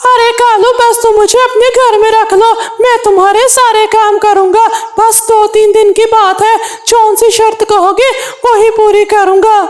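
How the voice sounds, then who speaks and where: Woman voicing a very high-pitched pleading little parrot. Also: little pitch movement, measured pace, few pauses. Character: homeless young male parrot, pleading and polite. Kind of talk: Hindi children's cartoon dialogue.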